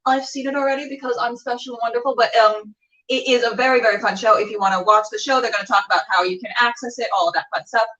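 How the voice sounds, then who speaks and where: A woman's voice: fairly high pitch, fairly even intonation, quick pace, bright, forceful tone, distant mic, lo-fi sound. A bubbly, theatrical woman chatting casually as she hosts a livestreamed show. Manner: bubbly, theatrical, self-mocking. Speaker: web show host. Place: indoor room with a door. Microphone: camera on a tripod, a little distant.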